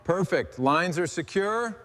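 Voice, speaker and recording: A man hosting and narrating a restoration in a scripted, calm workshop commentary style. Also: sits high, takes it slowly, a little hiss or hum. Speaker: host narrating a motorcycle restoration. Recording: long hall-like reverb.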